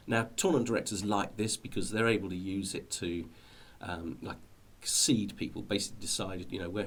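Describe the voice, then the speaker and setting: A middle-aged British man with a fairly low voice speaks as an informal video host. He explains things in a rambling, hesitant way, often doubling back on his words. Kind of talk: informal explanatory talk to viewers.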